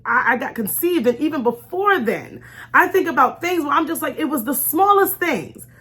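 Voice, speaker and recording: A woman hosting an informal faith talk in an animated, conversational way, stressing and repeating key phrases and acting out imagined remarks. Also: high voice, breathy edge. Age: adult with young children. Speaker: host of a faith storytime show.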